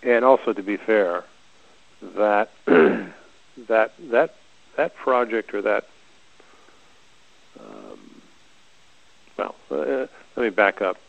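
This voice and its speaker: A soft-spoken man with a low, gravelly voice speaks haltingly, restarting his sentences often as he explains. His audio comes through dull and lo-fi, like a remote call. He talks as a guest in a relaxed conversation. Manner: hesitant, halting with many restarts.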